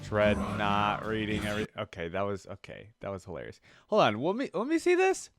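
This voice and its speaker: Low-voiced man reacting casually. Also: very animated, fairly quick, dry recording, studio quality, faint music behind. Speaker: reaction video host. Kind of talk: casual off-the-cuff reaction commentary.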